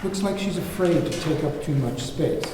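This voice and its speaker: A man's voice: fairly high pitch, animated, normal speed. A man reading screenplay stage directions as narrator at a table read. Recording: very echoey hall, distant mic, faint noise.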